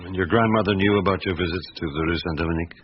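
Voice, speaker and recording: Man doing deep-voiced older detective in a radio play, measured n patient, few words, muffled old recording. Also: slight background noise, close to an everyday voice. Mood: patient, probing. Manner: measured, terse questioning. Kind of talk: radio drama acting.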